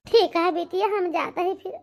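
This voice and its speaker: A woman voicing a humble, apologetic old village mother in an amateur cartoon, with an Awadhi accent, a pleading manner and a pitched-up voice. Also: normal speed, darkish tone. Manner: pleading, repeatedly addressing her daughter tenderly. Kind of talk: amateur cartoon comedy-drama acting.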